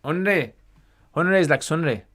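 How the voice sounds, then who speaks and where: A man's voice: fairly high pitch, expressive, takes it slowly, a bit bright. A man hosting a casual livestream Q&A, reading out questions and answering conversationally, with Arabic phrases sprinkled in.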